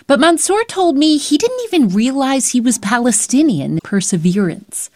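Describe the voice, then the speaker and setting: A woman reporter narrating a news feature in a measured, explanatory way. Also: high voice, big pitch swings, a little mellow, no echo, studio quality.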